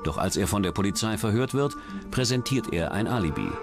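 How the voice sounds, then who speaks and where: Low-voiced man narrating true crime over music. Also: animated, fairly quick. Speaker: documentary narrator. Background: background music.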